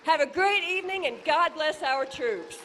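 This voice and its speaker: A woman acting as a gracious radio quiz host of the 1940s. Her voice sits high. Clear noise runs behind the live stage recording. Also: expressive, normal speed, fairly bright tone. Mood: upbeat, gracious.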